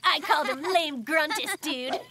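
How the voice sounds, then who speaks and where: Very high-pitched cocky bear cub boy. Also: slow pace, noisy background. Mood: cocky, teasing. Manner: animated, cocky bravado. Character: excitable boastful little brown bear cub, young boy. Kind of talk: animated kids' cartoon dialogue. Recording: music behind the voice. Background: cartoon music.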